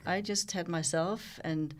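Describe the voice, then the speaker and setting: Older Danish woman, lowish voice. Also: steady tone. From Denmark. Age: 60s. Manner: thoughtful, with frequent pauses and restarts. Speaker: guest, journalist and founder. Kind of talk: reflective interview conversation.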